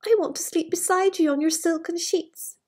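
A woman voicing a small, politely insistent male frog in a storybook, pleading in a drawn-out singsong. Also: very high voice, fairly even intonation, darkish tone.

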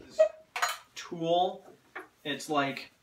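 A man's voice: fairly high pitch, very slow, background noise. A man in his thirties hosting a casual cooking demo with friends in a small home kitchen, joking and laughing as he works. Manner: playful, laughing often. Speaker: cooking show host. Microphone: far camera. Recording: roomy kitchen, camera far off.

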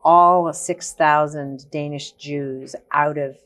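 Low-voiced woman around sixty, reflective. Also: fairly even intonation, takes it slowly, fairly bright tone. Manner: reflective, talks in long searching sentences with many ums. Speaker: guest, activist. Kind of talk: life-story interview. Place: TV studio set.

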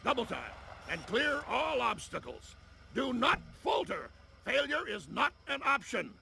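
Gravelly barking army drill sergeant jeep. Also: male voice, very high voice, big pitch swings, measured pace, full character voice. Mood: barking orders. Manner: commanding, shouting military bark. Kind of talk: animated game cutscene acting.

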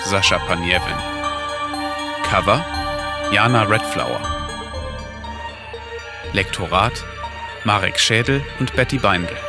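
Man for credits announcements, lowish pitch, unhurried delivery over a clear music bed. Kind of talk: scripted credits reading.

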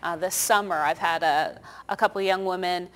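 Lowish-voiced young American woman, chatty. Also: steady tone, a little fast. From northeast Indiana, United States. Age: young adult. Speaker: interview guest, park naturalist. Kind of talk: casual informative interview talk. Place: small TV studio. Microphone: lapel mic.

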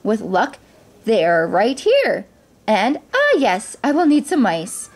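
A woman's voice: on the high side, wildly swooping pitch, slow, heavily acted. A woman voicing a kindly, reassuring little fairy godmother who muses as she works magic in a storybook. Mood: musing.

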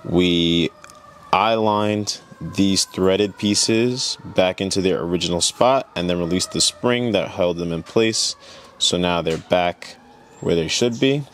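A young man with a low-pitched voice gives an informal, hands-on tutorial. He explains things in plain, conversational terms for a car DIY vlog. He is on a clip-on mic, with noticeable noise in the background. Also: takes it slowly. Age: young adult. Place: indoor garage, partly inside car. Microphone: clip-on lavalier.

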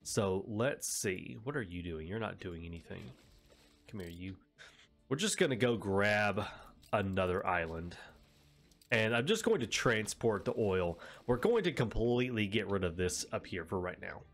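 A man's voice: on the low side, lively intonation, moderate pace. A man with a head cold and a nasal voice, giving casual commentary as he plays a city-building game, rambling and correcting himself as he explains. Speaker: let's play host.

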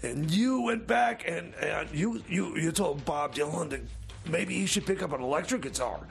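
A man playing a rambling, stoned, excitable sidekick in improvised comedy radio theater, stammering and repeating himself. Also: fairly high pitch, moderate pace, vocal fry, a little hiss or hum. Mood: rambling, boastful. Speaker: co-host character on a comedy radio show.

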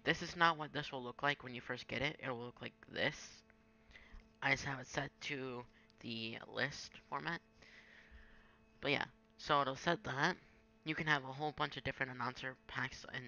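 A man's voice: medium pitch, vocal fry, bright, forceful tone, lo-fi sound. A young man giving a casual, improvised screen-recorded tutorial in an offhand way. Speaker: gaming tutorial maker. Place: indoors at a home computer.

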